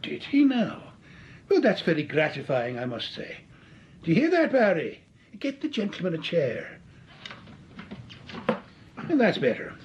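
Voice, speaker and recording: An actor playing a jovial, needling schemer with a lilt in a 1940s-style radio drama. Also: male voice, high-pitched, huge pitch swings, a little slow, long pauses, dull audio, slight background noise, heavily acted. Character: smooth-talking scheming man, Patrick Quinn.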